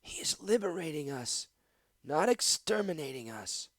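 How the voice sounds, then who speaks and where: A man voicing a wary, ragged outcast woman who speaks in a hushed, prim, raised voice. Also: fairly high pitch, very animated, a little fast, slightly rough, no echo, voice pushed up.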